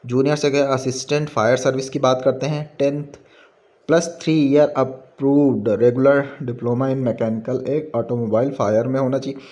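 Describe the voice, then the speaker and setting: A man with an Indian accent gives an informal explainer, reading out a notice point by point and explaining it, sometimes halting over the English phrases. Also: mid-range voice, fairly even intonation, darkish tone. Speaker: presenter of a job recruitment explainer.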